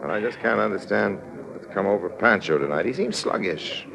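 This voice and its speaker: Low-voiced, wry radio swindler. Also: male voice, expressive, brisk, creaky, low-quality recording. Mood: wry, confiding. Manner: wry, theatrical, confiding. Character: suave, wry male swindler narrating his own con. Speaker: narrator and lead character. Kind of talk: 1950s radio drama acting. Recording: old radio recording with crowd noise.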